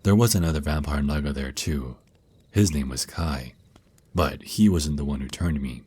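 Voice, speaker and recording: A man playing a centuries-old vampire naga boyfriend, a tender mentor speaking slowly and soothingly in an intimate ASMR roleplay, with a very deep, husky voice. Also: dark tone, dry recording. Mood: reminiscing, intimate. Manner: slow, soothing, teasing endearments.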